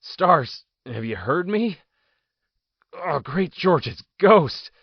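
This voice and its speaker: An actor playing an anguished, imprisoned political outcast with strange powers, speaking in theatrical, solemn soliloquy that can break into frantic outbursts. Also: male voice, on the high side, sing-song, very slow, gravelly, husky, no room sound, exaggerated voice. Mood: awed, confused. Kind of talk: audio drama acting, soliloquy. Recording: dull lo-fi dry recording.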